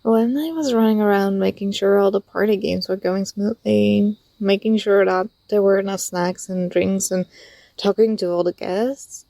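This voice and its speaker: A woman playing an affectionate, teasing young student talking to a close friend in a soft, intimate ASMR roleplay. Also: mid-range voice, gravelly, dry recording. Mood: tender. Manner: gentle, playful, coaxing.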